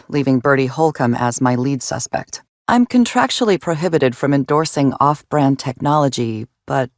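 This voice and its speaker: A woman's voice: extremely low pitch, no echo, studio quality. A woman playing a weary, dutiful constable in a video game, speaking with formal, deferential courtesy. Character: weary, dutiful female colonial constable, polite and anxious. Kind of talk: video game dialogue acting.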